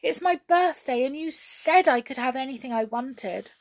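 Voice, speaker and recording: A woman voicing an eager little princess who begs in a high, silvery voice. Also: expressive, moderate pace, no room sound. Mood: pleading.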